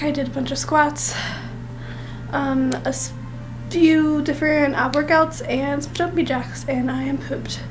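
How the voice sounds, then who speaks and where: A high-voiced young woman chats in a casual, gushing way to camera in a small bedroom. Her throat is a bit raspy from a recent illness, and she slips into soft whispers mid-sentence while working. Faint music sits underneath. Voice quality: raspy, throat not clear. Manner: chatty, gushing, drops to whispers while doing makeup. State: recovering from illness, clearing throat. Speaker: beauty vlogger. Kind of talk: casual vlog chat. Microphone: camera mic, close framing.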